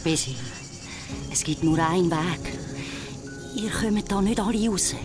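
A woman's voice: lowish voice, unhurried, music in the background, natural voice. An actress playing a dead grandmother's apparition in a radio drama, tender yet eerily insinuating and coaxing. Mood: coaxing, accusing.

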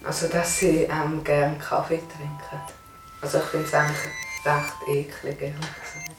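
Flat-pitched teenager in an echoey room. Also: normal speed, music in the background. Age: young teenager. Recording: distant, echoey, faintly noisy room.

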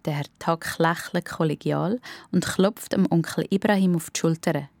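Swiss German woman narrating, slightly low voice. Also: normal speed, creaky, a little mellow, no echo. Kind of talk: scripted children's audio drama narration.

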